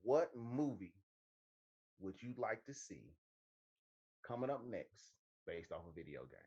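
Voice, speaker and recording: Husky, lowish-voiced man talking emphatically. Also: sing-song, unhurried, darkish tone, dull audio. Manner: emphatic, sweary. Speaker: co-host of a movie talk show. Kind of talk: casual chat.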